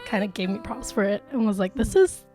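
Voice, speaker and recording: A woman in her twenties with a raspy voice, a musician talking sincerely and chattily in an interview. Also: mid-range voice, fairly quick, dark tone, soft background music. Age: 20s. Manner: sincere, chatty, laughs often. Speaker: musician guest. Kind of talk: casual interview talk.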